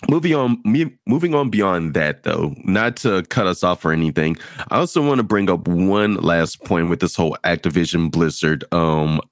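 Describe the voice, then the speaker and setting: A man with a very low voice hosts a casual gaming podcast. He talks in a measured way, pausing on fillers as he steers the conversation. His voice sounds close and dry. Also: animated, no echo. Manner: measured, leans on fillers while steering the topic. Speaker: host.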